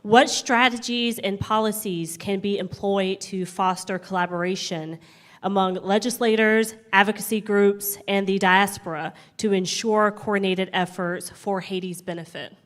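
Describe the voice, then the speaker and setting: A woman's voice: mid-range voice, steady tone, normal speed. A woman moderating a panel, reading out a formal question to a panelist.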